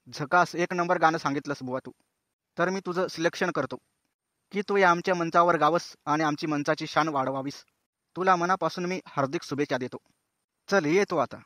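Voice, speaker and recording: A chatty cartoon show host, played by a man, speaks colloquial banter in a fairly high voice. The sound is very dry, and he teases contestants while addressing viewers like friends. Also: moderate pace, fairly bright tone, dry recording, close to an everyday voice. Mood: breezy, bantering. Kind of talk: comic cartoon show presenting.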